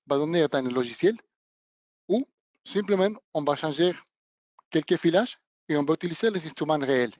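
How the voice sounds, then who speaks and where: A man who is not a native speaker of the language, giving a webinar demonstration of training equipment and pausing often to search for words. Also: fairly high pitch, a little mellow, no room sound. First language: not French. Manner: halting, searching for words, non-native phrasing. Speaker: product trainer.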